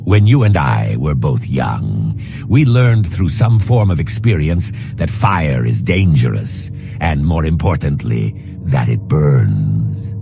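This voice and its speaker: A philosophical reptilian companion in a fantasy game, with an extremely low voice. He talks slowly and formally, pausing thoughtfully, with swooping intonation over clearly audible music. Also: very animated, exaggerated voice. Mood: pensive. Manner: measured, formal, deliberate pauses. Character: reflective Argonian lizard-man companion, scarred, formal, philosophical. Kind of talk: scripted fantasy game character dialogue.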